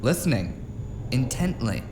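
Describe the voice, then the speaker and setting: A wry, rambling office drone voiced by a man with a very lively, swinging intonation. He stays chatty and unflappable in this audio drama, in an echoey space over noisy ambience. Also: mid-range voice, a little slow. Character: chatty, unflappable wry male office worker named Guy. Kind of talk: noir audio drama dialogue. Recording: roomy echo, clear background ambience noise.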